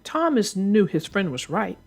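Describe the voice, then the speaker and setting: Animated woman reading a children's story. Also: medium pitch, measured pace, slightly rough, faint music behind. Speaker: children's book narrator. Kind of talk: expressive read-aloud storytelling.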